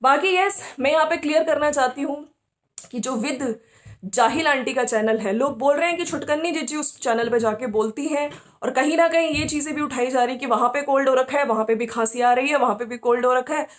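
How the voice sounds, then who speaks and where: A woman's voice: high voice, a little fast. A woman giving casual opinion commentary as a host, talking conversationally and often checking for agreement. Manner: conversational, often seeking agreement with tag questions.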